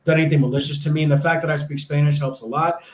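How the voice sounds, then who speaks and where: A man's voice: on the high side, fairly even intonation, racing, a crowd in the background. An American man in his young adult years, chatting enthusiastically as an interview guest, with lots of fillers and restarts. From United States. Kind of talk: casual interview chat. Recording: echoey room.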